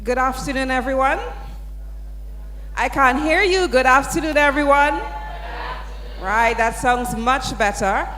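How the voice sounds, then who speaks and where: A woman's voice: high-pitched, unhurried, pauses often, pushed and bright, faint music behind. A woman, speaking as a sponsor's representative and leading an audience through an interactive exercise in an upbeat, coaxing way. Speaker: sponsor representative presenting at a student event. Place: large hall. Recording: hall reverb.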